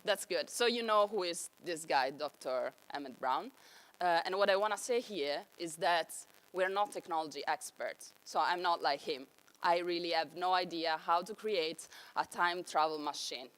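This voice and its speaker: A woman's voice: fairly low pitch, normal speed, a bit bright. A young woman with an Italian accent giving an enthusiastic conference talk on stage. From Italy. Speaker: conference speaker.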